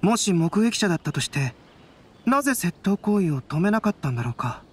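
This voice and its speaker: Composed young man with expressive intonation. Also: on the low side, a little fast, breathy edge. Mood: pensive. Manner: composed, polite, analytical. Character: calm, thoughtful young male artist-scholar. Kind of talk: anime game dialogue acting.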